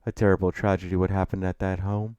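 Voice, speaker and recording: A man voicing a refined, sly older gentleman who speaks formally and deliberately, for a story. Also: low voice, little pitch movement, smooth, no echo, muffled recording, natural voice. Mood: proud, courtly. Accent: refined New England.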